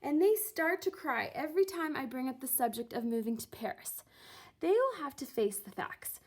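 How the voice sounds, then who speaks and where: A woman reading a children's book aloud expressively, with theatrical, deliberate phrasing and drawn-out words. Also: high-pitched, expressive. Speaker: reader of a children's picture book, teacher. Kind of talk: expressive storybook read-aloud.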